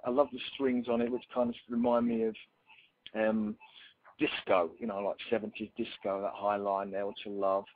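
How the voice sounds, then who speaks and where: Raspy-voiced man on a phone line. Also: mid-range voice, dry recording. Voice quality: raspy, slightly croaky voice. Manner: relaxed, rambling, many fillers. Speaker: singer-songwriter guest. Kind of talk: casual interview answers.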